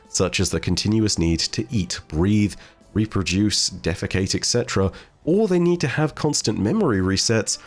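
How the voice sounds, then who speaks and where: A man giving video game lore commentary in a measured, explanatory, wordy way. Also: deep voice, a little mellow. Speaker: video host. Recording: music behind the voice. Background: background music.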